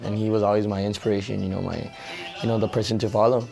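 Casting: late-teen male student musician, casual interview, noticeable vocal fry, low pitch. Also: flat delivery, fast, music in the background. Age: late teens. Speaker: high school mariachi violinist. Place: small studio room, indoors.

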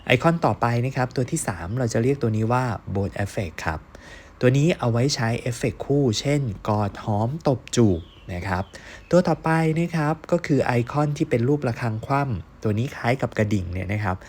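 A man teaching an online course as a step-by-step software tutorial, talking to learners in an encouraging, question-and-answer way. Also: mid-range voice, expressive, fairly quick, slight background noise. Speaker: online animation course instructor.

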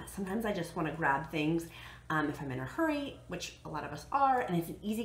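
An American woman in her thirties chatting casually and emphatically to camera as a vlog host, sharing practical tips and repeating points for emphasis. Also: on the low side. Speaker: vlog host giving budget diet tips. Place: living room at home, indoors. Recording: echoey living room with background noise.

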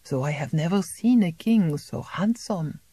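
A reader voicing an amused male count, whispering slowly. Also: medium pitch, expressive, mellow, breathy edge, no room sound.

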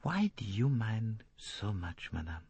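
Man playing courteous foreign male detective, placid, shrewd, formal phrasing, very lively intonation, breathy edge, audiobook. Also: medium pitch, moderate pace, no room sound, pitched above the performer's own voice. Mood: gentle.

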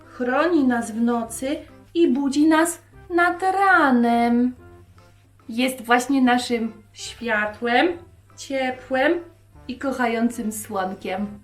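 Slow, high-voiced woman telling children stories. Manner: simple, direct address to children. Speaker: presenter of a children's religious show. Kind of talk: storytelling for young children. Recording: roomy echo, music behind.

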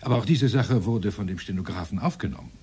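Husky, insistent male judge. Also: lowish voice, lively intonation, fast, a little mellow, full character voice. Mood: probing. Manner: probing, pressing, corrective. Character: stern, insistent presiding judge questioning a defendant. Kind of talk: radio play courtroom dialogue.

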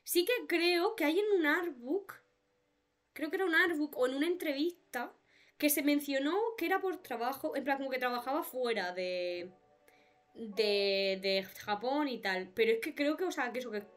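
A woman's voice: high-pitched, animated, normal speed, soft background music. A young woman from with a cold, chatting and laughing often on a video call. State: has a cold. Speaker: podcast co-host. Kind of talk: casual chat. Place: home room, indoors. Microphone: webcam on a video call.

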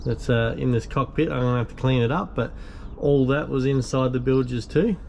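Relaxed man vlogging in an echoey boat hull. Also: mid-range voice, moderate pace, vocal fry. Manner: relaxed, rambling, with fillers. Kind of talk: casual show-and-tell narration. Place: on a steel boat under restoration, outdoors. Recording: echoey with long reverb, faint noise.

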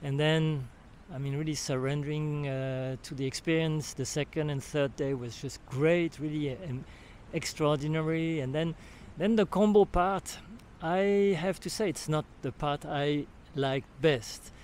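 Slow, hesitant older man with a French accent. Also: on the high side, a little mellow, slight background noise. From Switzerland. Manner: hesitant, searching for words, many restarts. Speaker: retreat participant giving a testimonial. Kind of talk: spoken testimonial. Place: outdoors at a sandy beach camp. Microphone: handheld recorder held near the mouth.

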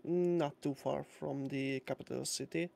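Slow, hesitant young Hungarian man. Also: mid-range voice, fairly even intonation, creaky, darkish tone. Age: young adult. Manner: slow and hesitant, many uh fillers, nervous laughs. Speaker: guest musician. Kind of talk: casual online interview. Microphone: home mic with external sound card.

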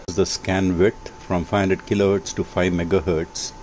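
An older man giving an informal technical demonstration as a radio hobbyist, speaking in a measured, matter-of-fact way. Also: sits low, flat delivery, a little mellow. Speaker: amateur radio hobbyist presenting. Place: indoor home radio workshop. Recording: clearly noisy home workshop recording.

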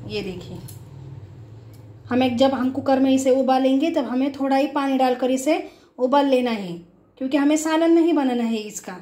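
Female home cook, high voice, simple step-by-step recipe narration, echoey kitchen. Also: normal speed, dark tone. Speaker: home cook, cooking show host. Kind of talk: casual recipe demonstration. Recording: echoey room.

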